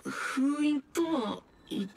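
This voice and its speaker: Slow, high, grandiose mystic machine. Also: female voice, expressive, vocal fry, noisy background, full character voice. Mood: grave, puzzled. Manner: grandiose, theatrical, old-fashioned diction. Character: solemn, archaic-speaking, muddled construction machine. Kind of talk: Japanese anime game acting.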